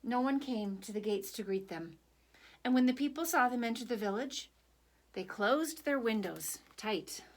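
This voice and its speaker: A woman narrating a picture book for children at storytime, deliberate and expressive, pausing between phrases. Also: fairly high pitch.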